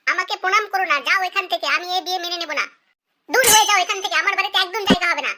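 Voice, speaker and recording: A woman's voice: very high-pitched, racing, sharp tone. A woman voicing a young female cartoon character in a comic, exaggerated, sing-song way. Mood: angry. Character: young female: doting mother or shy village schoolgirl.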